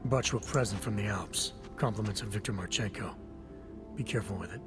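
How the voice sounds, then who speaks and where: Male actor as a low, terse action hero. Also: fairly quick, close to an everyday voice. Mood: grim. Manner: terse, dry, understated. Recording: music behind.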